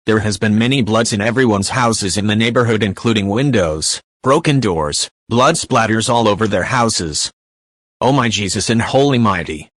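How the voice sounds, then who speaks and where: A man's voice: on the low side, normal speed, a bit bright, dry recording. A man playing a solemn TV news anchor, reading a scripted breaking news report. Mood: grave, reporting.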